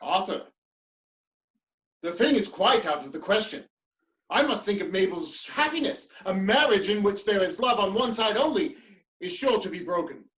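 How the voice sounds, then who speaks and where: Man playing an earnest high-voiced gentleman politician. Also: lively intonation, moderate pace, highs cut off. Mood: stern. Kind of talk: theatrical dramatic reading of a period comedy.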